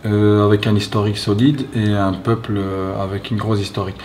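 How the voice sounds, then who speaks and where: A low-voiced man answers an interviewer slowly and thoughtfully, stopping and restarting as he searches for words. Faint ambience hums in the background. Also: little pitch movement, darkish tone, slight background noise. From Belgium. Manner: thoughtful, halting, many fillers and restarts. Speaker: guest, retired fighter. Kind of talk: reflective interview talk.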